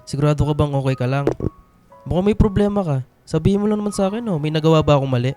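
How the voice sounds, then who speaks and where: An actor playing a caring, reassuring young husband in a radio drama, speaking with gentle concern. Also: male voice, fairly high pitch, very fast, soft background music, close to an everyday voice. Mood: concerned, surprised.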